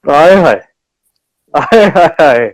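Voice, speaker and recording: A man's voice: on the high side, animated, takes it slowly, no room sound, low-quality recording. A man from India hosting a casual live interview in an appreciative, exclaiming manner. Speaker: interview host.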